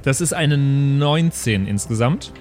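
A man's voice: mid-range voice, expressive, slow, darkish tone, faint music behind. A man playing a tabletop role-playing game, casually saying what his character does. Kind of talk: casual live tabletop play, speaking in character.

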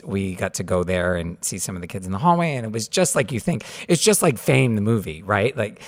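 Enthusiastic lower-voiced male podcast host. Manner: enthusiastic, playful. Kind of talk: casual podcast wrap-up chat.

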